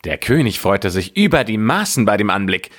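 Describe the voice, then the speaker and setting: A man narrating a scripted fairy tale as a storyteller, in a measured, deliberate way. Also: on the low side, sing-song, a bit bright.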